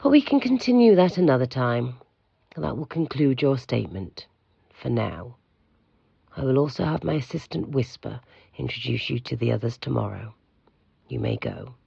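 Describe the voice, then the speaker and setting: An actor playing the stern, secretive head of a mysterious institute in an audio drama, speaking formally and with control in a gravelly voice. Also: male voice, medium pitch, very animated, normal speed, warm tone, no echo, dull audio, heavily acted. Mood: cool, formal.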